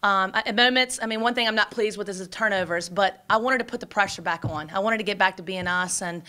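A woman in her forties, a basketball coach, talking quickly and energetically at a postgame press conference, with many fillers and restarts, into a table microphone. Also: medium pitch, pushed and bright. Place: press room before a sponsor backdrop. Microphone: table microphone in front.

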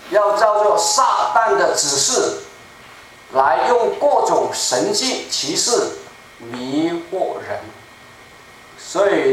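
Older man preaching in an echoey church. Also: a little high. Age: 70s. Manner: deliberate, slow and emphatic, repeating key phrases. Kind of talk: sermon. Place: small church sanctuary. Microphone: room mic from a far camera. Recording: echoey room, distant mic, faint noise.

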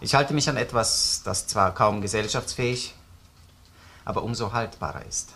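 An actor playing a dry, courteous yet probing Austrian police detective in a crime drama, with measured ironic politeness. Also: male voice, lowish voice, bright, forceful tone, a little hiss or hum. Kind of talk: crime radio play acting.

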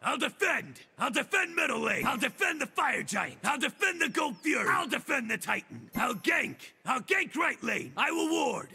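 A man voicing a big, boastful mutant turtle brawler for a video game, gravelly and forceful, with punchy, taunting delivery. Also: very high-pitched, very animated, normal speed, hardly any pauses, full character voice. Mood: urgent, punchy. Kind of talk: video game character voice lines.

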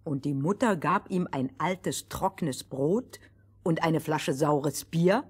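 A woman narrating a scripted fairy tale for children in a deliberate, schoolmistressly manner. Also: sits low, husky, heavily acted. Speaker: first storyteller.

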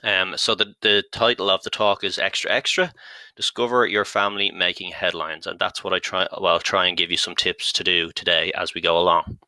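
Chatty Dublin man, deep dry voice. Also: flat delivery, brisk, bright, forceful tone, dry recording, rough recording. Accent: Dublin Irish. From Ireland. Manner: chatty, frequent ums and restarts. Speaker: genealogy company content strategist presenting a webinar. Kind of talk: live-streamed online talk.

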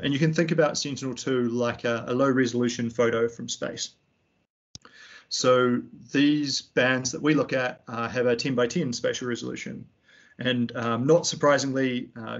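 A young man with a New Zealand accent, giving a tutorial over a slide presentation in a relaxed way, with frequent ums and restarts. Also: mid-range voice, creaky, dull audio. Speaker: fisheries analyst giving a tutorial. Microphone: computer mic on a video call.

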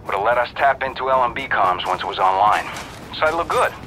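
Sardonic, gruff male tech officer. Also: medium pitch, lively intonation, fairly quick, sharp tone, low-quality recording. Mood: dry, sardonic. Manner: dry, sardonic. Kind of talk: mission briefing.

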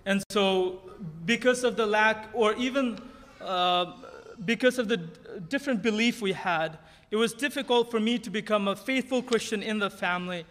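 A man's voice: sits high, moderate pace, a bit bright. A man, giving an earnest personal testimony at a church pulpit with light humor. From Nepal. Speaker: guest speaker at church. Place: large church sanctuary stage. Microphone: podium microphone. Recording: reverberant sanctuary sound.

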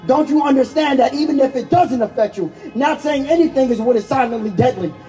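A man's voice: very high voice, brisk, mellow, faint music behind. A man performing spoken-word protest poetry in an impassioned, emphatic way, repeating key lines for effect. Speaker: spoken-word poet and activist speaker.

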